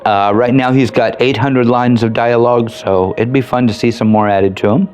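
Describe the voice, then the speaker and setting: A man's voice: mid-range voice, fairly even intonation, normal speed, darkish tone, faint music behind. A man giving casual commentary as he plays and reviews a video game. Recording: faint background noise. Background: game ambience.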